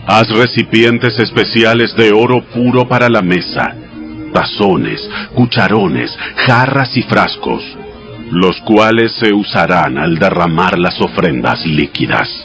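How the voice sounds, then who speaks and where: A man playing God in a dramatized audio Bible, giving sacred instructions in a solemn, authoritative, measured way. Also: lowish voice, lively intonation, a bit bright, faint music behind, heavily acted. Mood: solemn, commanding.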